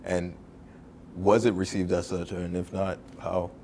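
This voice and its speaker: Lowish guy hosting a podcast, jokey and loose, little hiss in the room. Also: little pitch movement, a little slow, creaky, darkish tone. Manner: joking, loose questions with restarts. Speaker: podcast host. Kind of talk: casual interview.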